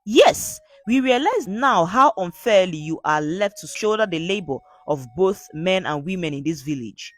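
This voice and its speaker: A woman's voice: fairly low pitch, animated, moderate pace, hardly any pauses. A woman voicing a well-meaning, apologetic village helper in a folktale, formal and earnest.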